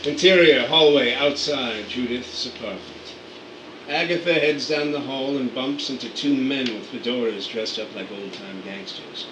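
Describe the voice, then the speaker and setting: A man reads stage directions in a brisk, matter-of-fact way. He sits back from the mic in an echoey room, with faint hiss under him. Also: mid-range voice, distant mic. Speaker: narrator.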